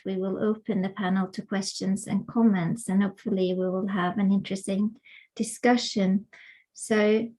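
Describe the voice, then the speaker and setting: A woman's voice: mid-range voice, darkish tone, poor audio. A woman with a mid-range voice opens an academic webinar over a video call. She speaks with many ums and uhs, stopping often to find her words. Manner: hesitant, many fillers. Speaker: webinar host and co-editor. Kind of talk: academic panel introduction.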